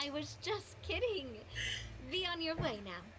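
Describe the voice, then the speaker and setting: A woman's voice: extremely high pitch, big pitch swings, a little slow, noisy background, exaggerated voice. A woman playing a spirited, confident young female leader in an anime video game, teasing and upbeat. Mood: playful teasing.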